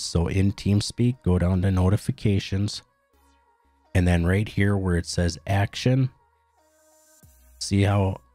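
A man narrating a step-by-step software tutorial in a plain, instructional way. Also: sits low, dry recording. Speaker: tutorial host.

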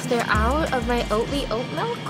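A woman's voice: fairly high pitch, animated, normal speed, over loud music. A woman in her twenties chatting casually to camera in a lifestyle vlog, upbeat and full of "like" fillers. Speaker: lifestyle vlogger. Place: home kitchen and car.

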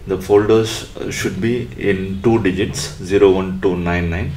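A man presenting an electronics tutorial step by step in a halting, instructional way. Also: sits low, fairly even intonation, darkish tone, a little hiss or hum.

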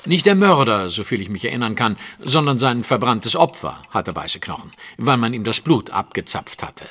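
Self-assured detective, husky, dryly ironic, precise, animated intonation, audio drama. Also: male voice, mid-range voice, moderate pace. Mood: teasing. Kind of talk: German audio drama acting.

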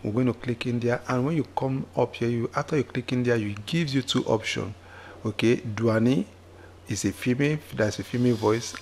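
A man walking viewers through a software tutorial, often repeating himself and checking in with "okay?". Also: lowish voice, gravelly, a little hiss or hum. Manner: repetitive, with frequent "okay?" checks. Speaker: tutorial host. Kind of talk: screen-recorded software tutorial. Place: indoors at a computer, voice-over on screen capture.

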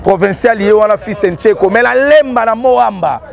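Very high-voiced man outdoors. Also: moderate pace, creaky. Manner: emphatic, gesturing, repeats points. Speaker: street talk show commentator. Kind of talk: animated street debate in Lingala and French. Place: outdoor city street by a market. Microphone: lapel mic. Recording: street crowd and traffic behind.

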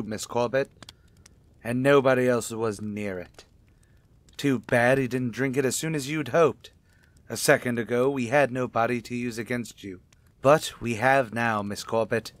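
A man's voice: mid-range voice, steady tone, measured pace, natural voice. A man playing a stern police detective in a radio mystery, grave and emphatic. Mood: grim, triumphant. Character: stern, triumphant police detective making an arrest.

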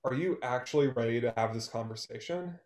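A man's voice: medium pitch, a little fast, darkish tone, lightly acted. A young man reading the part of a sarcastic, impatient music performer in a play. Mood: bitter. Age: young adult. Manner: curt, sarcastic. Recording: echoey room on video call.

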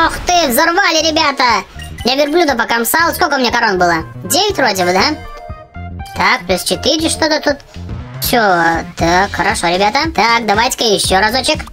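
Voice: high-pitched, lively intonation, brisk, sharp tone. A gaming channel host giving casual, exclamatory gameplay commentary for children, addressing viewers as friends. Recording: music behind the voice.

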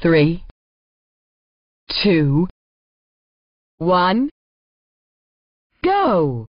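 A woman's voice: fairly low pitch, very animated, extremely slow, dry recording, full character voice. A woman voicing a spirited, cheeky race announcer in a 1990s arcade racing game, egging the driver on with punchy, emphatic calls. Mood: counting down. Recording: dull lo-fi 1990s console audio.

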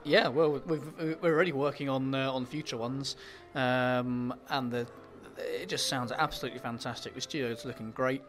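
A man with an English accent, an author answering interview questions in a halting way, full of ums and repeated words. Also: medium pitch, fairly even intonation, over music.

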